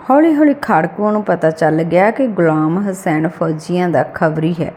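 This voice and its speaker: A woman's voice: medium pitch, darkish tone. A woman reading a scripted short story aloud as an audiobook narrator.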